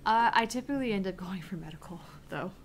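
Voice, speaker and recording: A woman's voice: medium pitch, animated, quick pace, a little hiss or hum. A woman game streamer chatting casually during a stream.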